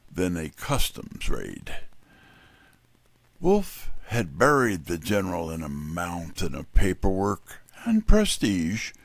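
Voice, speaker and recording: A man narrating a scripted mystery story in a deliberate, dramatic manner. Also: low voice, dry recording.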